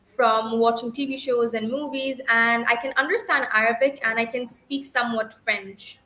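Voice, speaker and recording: Bright-voiced young woman, echoey room. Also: a little high, fairly even intonation, moderate pace. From Bangladesh. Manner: fluent, with small self-corrections. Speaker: IELTS practice candidate. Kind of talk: spoken exam practice answers. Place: livestream.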